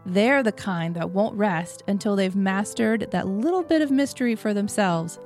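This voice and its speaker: A woman with a Southern US accent reading the part of a cynical, clever young male criminal in a sardonic, deliberate way. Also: mid-range voice, darkish tone, faint music behind, close to an everyday voice. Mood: intent, proud.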